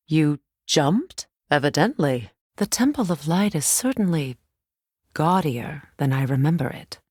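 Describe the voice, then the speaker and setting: A woman voicing a proud, measured Norse warrior goddess in a dramatic video game, with formal, deliberate and dry wording. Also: deep voice, dry recording, exaggerated voice. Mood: wary, calm.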